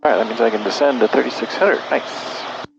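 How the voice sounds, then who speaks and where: A man's voice: medium pitch, a little fast, vocal fry. A man piloting a small plane, talking tersely and casually on an aviation headset. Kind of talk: cockpit radio readbacks and muttered self-talk. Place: small aircraft cockpit. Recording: noisy, muffled cockpit radio audio. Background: aircraft noise.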